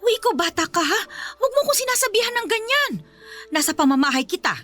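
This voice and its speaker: A woman's voice: very high voice, sing-song, a bit bright, faint music behind, exaggerated voice. A woman playing a middle-aged, indulgent but scolding Filipina mother-in-law in a radio drama, nagging and dismissive, with a Filipino accent. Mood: indignant. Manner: nagging, dismissive, drawn-out phrases.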